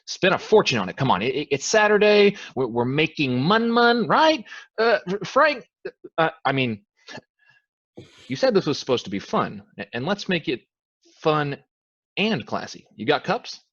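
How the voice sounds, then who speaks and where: A man's voice: fairly high pitch, very animated, normal speed, fairly bright tone, lo-fi sound. A man acting an eager, casual newcomer in a staged play reading, persuasive and often stammering. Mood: wheedling. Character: eager, casual, wheedling newcomer with expensive booze.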